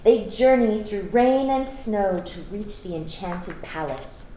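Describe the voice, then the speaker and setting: A woman reads a storybook aloud for children with expressive delivery and explanatory asides. Her voice sits at a middle pitch. The room is echoey, with faint noise behind her. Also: takes it slowly. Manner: expressive, explains to young listeners. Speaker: storytime reader.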